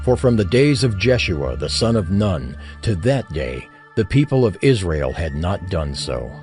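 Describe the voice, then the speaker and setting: Music sits under a fairly low male voice. He narrates scripture as a dramatized reading, solemn and deliberate, with lively rises and falls in pitch.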